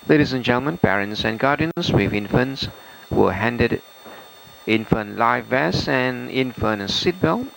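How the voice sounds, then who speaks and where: A man with a Malaysian accent reading formal cabin crew announcements in a halting way. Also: mid-range voice, expressive, creaky, a bit bright. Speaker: airline cabin crew member. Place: aircraft cabin, over the PA. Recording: faintly noisy aircraft cabin announcement audio.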